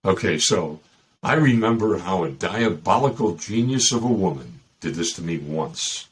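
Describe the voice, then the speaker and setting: A man's voice: low voice, animated, normal speed, husky. A middle-aged man with a Chicago accent, hosting a casual dating-advice talk full of joking asides. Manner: joking asides, loose and rambling. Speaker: host.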